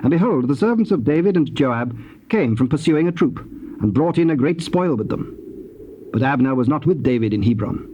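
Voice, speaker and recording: Formal male scripture narrator, mid-pitched. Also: expressive, mellow, lo-fi sound. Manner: measured, formal storytelling. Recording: noisy old cassette tape transfer.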